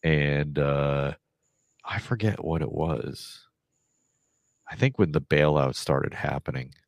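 A man's voice: very deep voice, slow, no echo, clean studio sound. A man in his forties in casual podcast chat, rambling with lots of fillers and restarts. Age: 40s.

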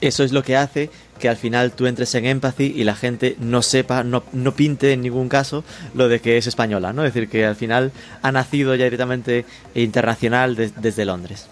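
A man's voice: medium pitch, steady tone, normal speed. A man hosting an interview, asking chatty, jokey questions. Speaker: podcast host and interviewer.

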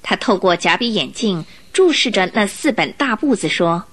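A woman narrating a radio drama, reading a scripted story in an expressive, dramatic storytelling manner. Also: medium pitch, moderate pace, breathy edge.

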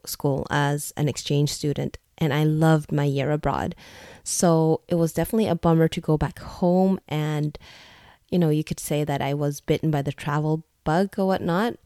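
A woman's voice: deep voice, mellow, no room sound, clean studio sound. A young woman from Norway telling a personal story in a candid, chatty, reflective way. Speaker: vlogger.

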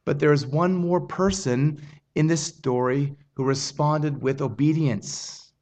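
Male pastor, high voice, earnest measured preaching, stumbles and restarts. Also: a little mellow. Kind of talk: sermon.

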